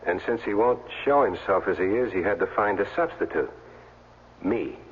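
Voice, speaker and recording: Plain-spoken ex-athlete stand-in, played by a man: low voice, earnest, uneasy, informal, thin old radio-play sound. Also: lively intonation, moderate pace, lo-fi sound, slight background noise, lightly acted. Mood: wary, questioning. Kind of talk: 1970s radio drama acting.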